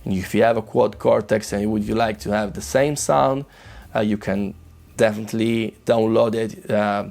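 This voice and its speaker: A man with an Italian accent chatting casually about guitars, his voice rough after a flu, hesitant with many restarts. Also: on the low side, fairly even intonation, moderate pace, a little mellow. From Italy. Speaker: guitar gear reviewer. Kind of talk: casual demo chat to camera.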